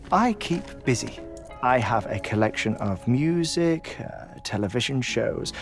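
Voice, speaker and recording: An actor in a game cutscene playing an eccentric, melancholy middle-aged man, with hesitant, stammering, formally worded speech. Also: medium pitch. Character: eccentric, melancholy middle-aged man living in twenty-one-minute cycles. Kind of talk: video game cutscene acting.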